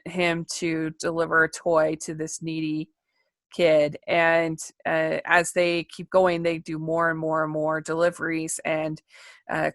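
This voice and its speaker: A woman co-hosting a casual film discussion podcast, talking in a chatty, rambling way with halting delivery. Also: sits low, sharp tone, dry recording. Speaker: podcast co-host reviewing animated films.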